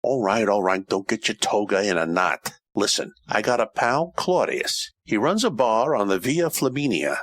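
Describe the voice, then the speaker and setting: An actor playing a hard-boiled, wisecracking Roman private eye, wry and tough-talking, in an old-time radio detective parody. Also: male voice, fairly low pitch, sing-song, normal speed, few pauses, a bit bright, no room sound.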